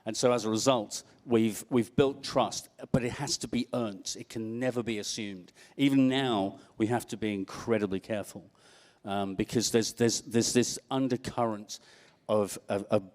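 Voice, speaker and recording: A man's voice: fairly low pitch, steady tone, normal speed, a little mellow, long reverb tail. A middle-aged man with an English accent speaking earnestly on a panel. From England. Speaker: panelist, project founder. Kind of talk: panel discussion.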